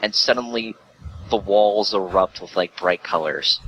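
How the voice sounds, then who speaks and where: A man's voice: lowish voice, a little slow, fairly bright tone, slightly rough, rough recording. A man telling casual, rambling stories as a podcast guest, swearing freely and peppering his speech with filler words. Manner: profane, rambling, uses the word like heavily.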